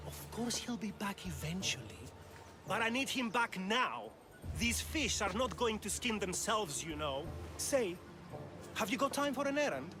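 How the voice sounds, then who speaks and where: A man's voice: high-pitched, big pitch swings, a little slow, music in the background. A man playing a grumpy middle-aged fisherman father in a video game cutscene. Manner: grumpy, gruff, exasperated. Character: sour, grumbling fisherman father.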